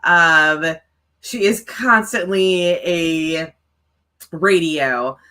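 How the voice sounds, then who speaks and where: A woman's voice: fairly low pitch, extremely slow, sharp tone. A woman with a mild stutter, chatting casually to viewers as the co-host of a writing livestream, with many fillers.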